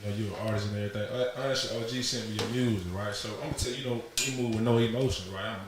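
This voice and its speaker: Fast-talking host in a very echoey room. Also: male voice, fairly low pitch, fairly even intonation, background noise. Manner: storytelling, acts out quoted lines. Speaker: podcast host. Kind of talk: casual interview talk.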